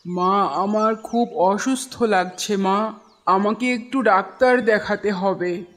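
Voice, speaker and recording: A man's voice: high-pitched, steady tone, slow, fairly bright tone, long reverb tail. A man voicing an ailing, tender village mother in a cartoon story, weary and plaintive. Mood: weak, pleading.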